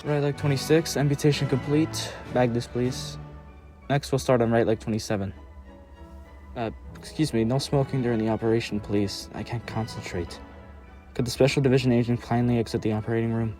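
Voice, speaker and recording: Anime fandub: actor for a fussy, procedural surgeon with a mid-range voice. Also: male voice, medium pitch, steady tone, fairly quick, over music, close to an everyday voice. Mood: clinical, fussy. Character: fussy, clinical male surgeon at work.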